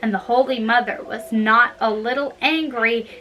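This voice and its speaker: A woman reads fairy tales aloud in a bright, forward voice that sits fairly high. She goes slowly and haltingly, slipping in wry, casual remarks. There is noticeable noise behind her. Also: fairly even intonation, rough recording. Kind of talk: storybook reading with casual asides.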